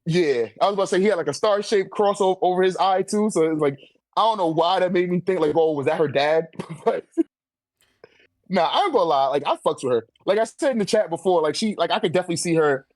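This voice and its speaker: Man, American accent, slightly high voice, casual discussion, heavy slang and swearing, conversational fillers, dry room-free sound. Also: quick pace, darkish tone, no room sound. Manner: slangy, profane, repeats "like" and "you know what I'm saying". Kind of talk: casual chat, fan debate.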